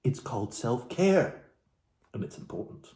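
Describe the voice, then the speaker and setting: A man from Alaska giving a comedic, theatrical book reading as host, florid and mock-genteel, with dramatic pauses and asides to his viewers. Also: medium pitch, sing-song, dark tone. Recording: roomy, echoey room sound.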